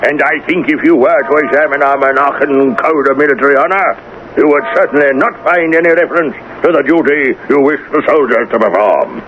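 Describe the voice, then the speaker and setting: Actor as a blustering, high-pitched army general. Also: male voice, lively intonation, natural voice. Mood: officious, defensive. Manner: booming, drawn-out orders, officious excuses. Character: blustering army general, commanding yet sheepish before his king. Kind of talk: 1970s radio drama acting. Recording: muffled, noisy 1970s radio broadcast recording.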